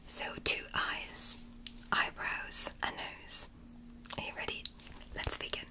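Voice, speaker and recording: A woman whispering as a gentle alien woman doctor in an ASMR roleplay, softly praising and reassuring her patient. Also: low-quality recording. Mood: soothing. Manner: soft, slow, praising and reassuring.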